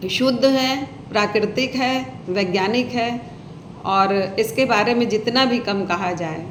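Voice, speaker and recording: A woman's voice: fairly high pitch, a little hiss or hum. A woman poet giving an earnest speech and then reciting her poem in a declamatory way, repeating lines with rising emphasis. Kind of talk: speech on language followed by poetry recitation. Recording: echoey room.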